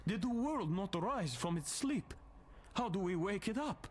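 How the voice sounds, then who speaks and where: An actor playing a frightened, bewildered man pleading in a fantasy video game, his voice husky and anxious. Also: sits high, animated, a little slow. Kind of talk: scripted video game dialogue.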